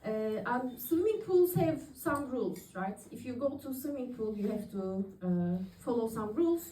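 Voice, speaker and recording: A woman's voice: fairly high pitch, lively intonation, normal speed, mellow, far from the mic, slight background noise. A woman in her thirties from Turkey doing open-mic stand-up comedy, chatty and laughing at her own jokes. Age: 30s. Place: comedy club stage, audience in the room. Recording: echoey room recorded from afar.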